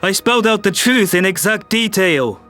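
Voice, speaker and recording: A man's voice: high voice, animated, normal speed, pushed and bright, faint music behind. A man playing a harried human world leader, tense and exasperated.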